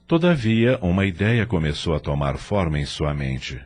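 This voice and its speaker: A man's voice: low voice, big pitch swings, moderate pace, breathy edge. A man reading dramatic scripted narration as the narrator of an audio drama. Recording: loud music under the voice. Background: loud music.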